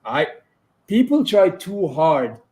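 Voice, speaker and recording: A man's voice: fairly high pitch, big pitch swings, slow, warm tone. A man from Saudi Arabia with a British-influenced accent, co-hosting a casual livestream chat and bantering a lot, with plenty of "bro" and "you know what I mean". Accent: British-influenced English. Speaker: co-host, channel owner.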